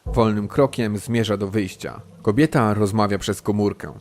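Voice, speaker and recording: A man's voice: lowish voice, normal speed, darkish tone. A man reading scripted present-tense narration for an audio drama.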